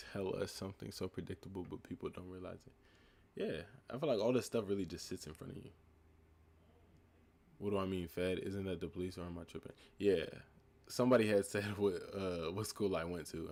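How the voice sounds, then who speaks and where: A young man from the United States with a calm, laid-back voice, reading live chat questions aloud and answering them in a relaxed, reflective way. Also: deep voice, brisk, gravelly. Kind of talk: casual live stream chat.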